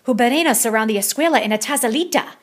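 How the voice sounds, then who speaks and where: A woman voicing an excitable male chihuahua gang leader in a storybook, loud and exclamatory. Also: fairly high pitch, quick pace, voice pushed up, lightly acted. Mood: urgent, excited.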